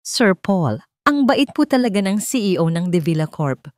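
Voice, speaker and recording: A woman's voice: mid-range voice, big pitch swings, moderate pace, a little mellow, no echo, studio quality, close to an everyday voice. A woman with a Filipino accent voicing a polite, admiring, gossipy hospital nurse in a dramatic story, deferential and chatty. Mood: admiring, confiding.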